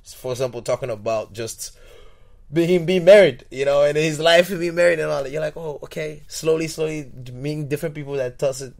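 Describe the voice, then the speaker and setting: A man's voice: fairly high pitch, fairly quick, vocal fry, darkish tone. A young man from Togo, a podcast guest, talking in a rambling, reflective way with many restarts and repeated words. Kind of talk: casual interview.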